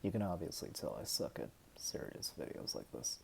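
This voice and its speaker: A young man in his twenties from the United States, talking hesitantly and softly to the camera at home late at night. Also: extremely low pitch, very animated, vocal fry, whispered. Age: 20s. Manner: hesitant, halting and soft-spoken. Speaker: vlogger. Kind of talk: personal talk to camera.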